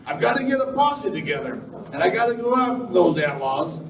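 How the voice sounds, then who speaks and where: A man's voice: very high-pitched, sing-song, vocal fry, exaggerated voice. Man acting a hurt, determined Western sheriff, echoey. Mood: urgent, determined. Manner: halting, groaning, folksy. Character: injured Western sheriff, dazed, gruffly determined. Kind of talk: live Western radio play acting. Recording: echoey, noisy room from far off.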